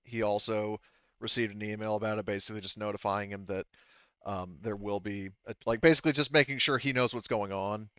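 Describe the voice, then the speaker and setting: A man's voice: on the low side, steady tone, brisk, a bit bright, clean tone, dry recording, dull audio. A man casually explaining things as game master in a tabletop roleplaying game.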